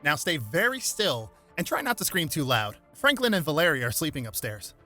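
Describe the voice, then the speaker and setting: A man voicing a brainy, measured male superhero scientist in a storybook reading, precise and explanatory. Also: fairly high pitch, sing-song, bright, forceful tone, soft background music, full character voice.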